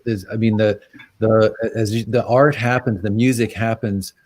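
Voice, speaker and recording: Brief: low-pitched male host on a video call, dry close sound, halting and warm in thanks. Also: steady tone, no room sound. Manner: halting, grateful, repeats words while starting sentences. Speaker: event host. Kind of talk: live-streamed conversation.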